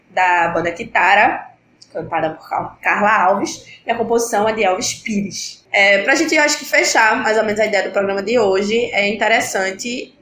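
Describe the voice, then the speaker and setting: Mulher gripada, fala solta e animada, ruído leve ao fundo. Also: female voice, mid-range voice, fairly bright tone, echoey room, slight background noise. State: has a cold, congested. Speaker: podcast host. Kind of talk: casual podcast chat.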